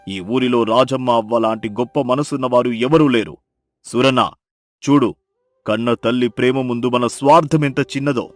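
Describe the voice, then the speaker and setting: A man's voice: medium pitch, steady tone, moderate pace, soft background music, pitched below the performer's own voice, close to an everyday voice. A man voicing a solemn, sorrowful village landlord who speaks wisely in a moral story. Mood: solemn, moved.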